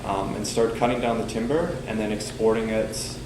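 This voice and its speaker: A man's voice: lowish voice, moderate pace. A young male student giving a casual class presentation, talking loosely with lots of ums and likes. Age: young adult. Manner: loose, filler-heavy talk with many ums and likes. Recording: echoey room with air-conditioning hum.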